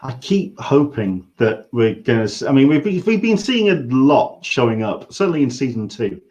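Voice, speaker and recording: A man from England with an English accent hosting a casual interview, haltingly searching for his words. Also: medium pitch, very animated, dark tone. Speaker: interview host.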